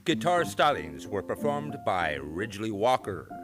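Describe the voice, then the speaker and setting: A man's voice: fairly low pitch, very animated, measured pace. A man announcing in a scripted radio show style, reading out a sign-off and credits. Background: guitar music.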